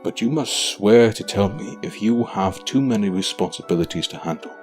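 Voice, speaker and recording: A man playing a deep-voiced, weary old king. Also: darkish tone, faint music behind, lightly acted. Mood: tender, resigned. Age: older. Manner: formal, measured, sighing. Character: weary, gentle old king and devoted father. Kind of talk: audio drama acting.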